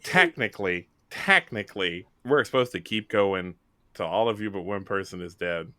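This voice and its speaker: A man's voice: lowish voice, lively intonation, moderate pace, sharp tone, dry recording. A man hosting and narrating a tabletop game in a casual, improvised, conversational way.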